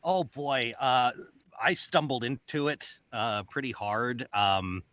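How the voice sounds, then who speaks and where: A man's voice: medium pitch, slow, a bit bright, dry recording. A man talking casually as an actor guest on a remote panel, recorded on a studio microphone.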